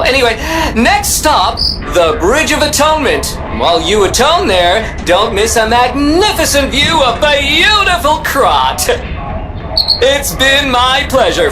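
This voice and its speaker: Chirpy lil robot guide for a game, chatty showman, super swoopy pitch, unhurried. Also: heavily acted. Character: Gemini, chirpy talkative small mechanical guide companion. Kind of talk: video game voice acting.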